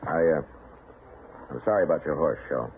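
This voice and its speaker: An actor playing a steady, middle-aged American marshal in a radio western, terse and authoritative. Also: male voice, very deep voice, brisk. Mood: calm, sober. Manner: terse, matter-of-fact, authoritative. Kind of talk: old-time radio western drama acting. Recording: muffled, noisy old radio recording.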